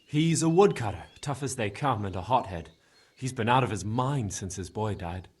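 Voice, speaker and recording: An actor playing a plainspoken, forthcoming medieval villager in a video game, with a husky voice. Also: male voice, on the low side, expressive, moderate pace. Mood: open, frank.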